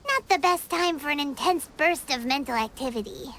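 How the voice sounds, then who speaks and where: A woman voicing a tiny, cheerful, greedy childlike fairy companion in an anime game, squeaky and exuberant. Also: animated, moderate pace, a bit bright, a little hiss or hum. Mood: hesitant, chatty. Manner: refers to self in third person, exuberant. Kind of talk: anime video game voice acting. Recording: game music behind voice.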